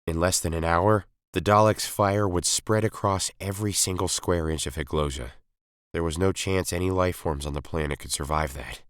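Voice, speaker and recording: A man's voice: sits low, fairly even intonation, moderate pace, smooth, dry recording, studio quality. A man reading a scripted science fiction story as its narrator. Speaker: story reader.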